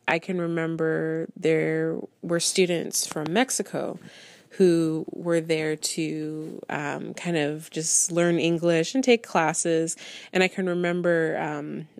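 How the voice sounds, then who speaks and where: Low, creaky-voiced woman reflecting. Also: no room sound. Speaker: interviewee. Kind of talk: reflective personal anecdote in interview.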